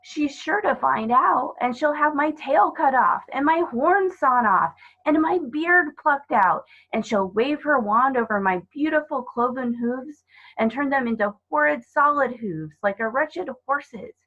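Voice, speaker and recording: A woman's voice: high-pitched, expressive, a little slow, fairly bright tone, highs cut off, voice pushed up, full character voice. A woman voicing a timid, guilt-ridden male faun in a children's storybook, tearful, pleading and melodramatic. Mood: tearful, frightened.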